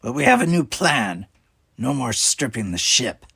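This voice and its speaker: A man's voice: medium pitch, sing-song, a little slow, gravelly, pushed and bright, husky, dry recording, studio quality, heavily acted. A man voicing a menacing, tusked female alien pirate captain for an audiobook, with a drawling, mocking, commanding manner. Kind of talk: audiobook character voice.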